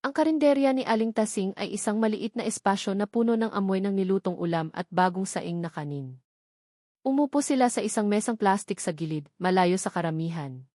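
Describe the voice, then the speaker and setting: A woman's voice: mid-range voice, brisk, dark tone, no echo, clean studio sound. A woman narrating a scripted dramatic love story as a storyteller.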